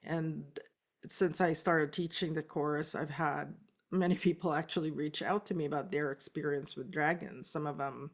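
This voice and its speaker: A woman from the Philippines, teaching a spiritual course in a relaxed, unscripted way, often pausing with ums and restarting her sentences. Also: sits low.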